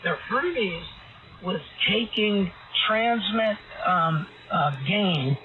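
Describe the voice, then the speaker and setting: A raspy-voiced man chatting casually as a ham radio operator, rambling and hesitant with many fillers. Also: a little high. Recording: tinny radio transmission with static.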